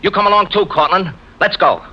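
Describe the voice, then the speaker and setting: An actor playing a brisk, crafty, commanding male detective in clipped 1950s radio drama style. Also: sits high, over loud music, lightly acted. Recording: dull, noisy old radio recording.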